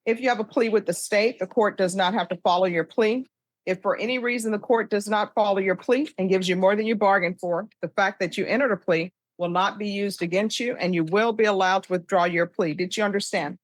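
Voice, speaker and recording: An American woman presides as a judge with a medium-pitched voice. She runs through formal courtroom questions briskly and by rote, sounding firmly in charge. The sound is dry. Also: no echo. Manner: brisk, authoritative, recites by rote. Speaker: judge presiding over a plea hearing.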